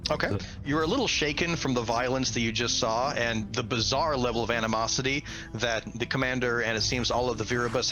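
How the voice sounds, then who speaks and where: Male game master, average pitch, expressive tabletop RPG narration with music underneath. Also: poor audio.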